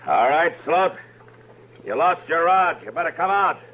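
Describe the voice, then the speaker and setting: A man's voice: on the high side, animated, a little slow, phone-quality sound. A man playing a dry, firm U.S. Marshal in a western radio drama. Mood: commanding. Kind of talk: 1950s western radio acting. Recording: faintly noisy old radio recording.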